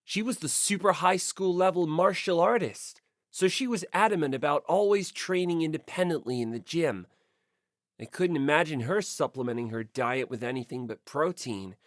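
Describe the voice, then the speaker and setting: A woman's voice: low voice, normal speed, no echo, clean studio sound. A woman reading a first-person story as a scripted audiobook narration.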